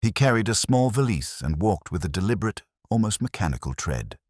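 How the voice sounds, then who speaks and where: A man narrating a scripted mystery story in the first person, in a measured, solemn and dramatic manner. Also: on the low side, big pitch swings, a little mellow, no room sound, studio quality.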